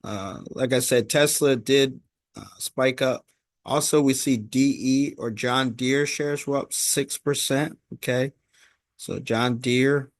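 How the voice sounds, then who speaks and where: Male finance vlogger, mid-range voice, informal and halting recap style, circles back on points, close dry sound. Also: medium pitch, steady tone, dry recording. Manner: halting and repetitive, punctuating points with "okay?". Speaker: host of a stock market recap. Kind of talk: informal stock market commentary.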